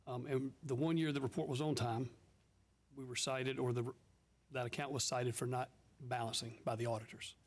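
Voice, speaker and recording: Guy on a county council, walks through a finance report step by step, steady. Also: medium pitch, moderate pace. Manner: methodical, explains step by step. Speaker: council member. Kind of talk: committee report at a council meeting.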